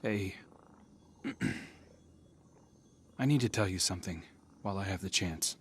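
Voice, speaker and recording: An earnest outcast hero speaks in a lowish voice at a slow pace. He is humble, sincere and reflective, a game character with faint noise behind him. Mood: heartfelt, grateful. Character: earnest, humbled male outcast hero finding his path.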